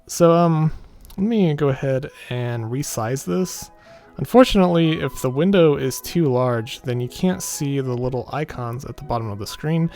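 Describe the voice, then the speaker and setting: Male gaming host, medium pitch, informal read-aloud with off-the-cuff comments and small stumbles, clear music bed. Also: moderate pace, a little mellow. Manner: informal, stumbles over text and rereads. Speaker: gaming video host reading a visual novel aloud. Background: background music.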